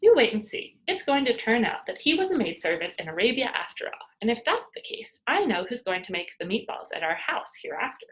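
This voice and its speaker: Woman as exuberant young storybook girl, mischievous and cheeky, very animated delivery. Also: a little high, brisk, hardly any pauses, poor audio. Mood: teasing, argumentative. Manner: playful, cheeky, argumentative. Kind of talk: children's storybook character voice.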